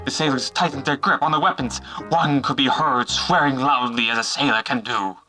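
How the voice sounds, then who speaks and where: A man's voice: medium pitch, normal speed, sharp tone. A man reading scripted dramatic narration for an adventure story. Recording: music behind the voice.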